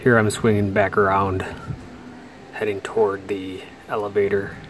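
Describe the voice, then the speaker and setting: A man narrating a walking tour through a quiet indoor mall while filming, talking in a relaxed way with pauses. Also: on the low side, slight background noise. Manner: relaxed, unhurried commentary with pauses. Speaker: mall explorer vlogger. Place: large indoor mall, mostly empty.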